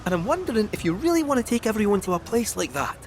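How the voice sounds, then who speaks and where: High, chatty cat robot. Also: male voice, sing-song, fast, a little hiss or hum. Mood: hesitant warning. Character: small excitable male cat robot sidekick, chatty and cagey. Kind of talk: game cutscene dialogue.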